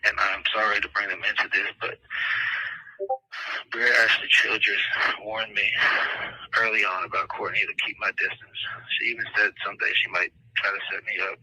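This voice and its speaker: A man speaks over a recorded jail phone line in a flat, very raspy voice with a sharp, cutting edge. He delivers a prepared statement deliberately and matter-of-factly, like someone setting out his account. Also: fairly low pitch, dry recording. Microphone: telephone.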